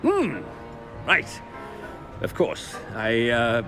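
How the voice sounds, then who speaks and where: An actor playing a pompous, irritable British headmaster in a video game, blustering and haughty. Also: male voice, medium pitch, huge pitch swings, extremely slow, over music, full character voice. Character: pompous, irritable male headmaster, flustered and imperious. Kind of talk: video game character acting.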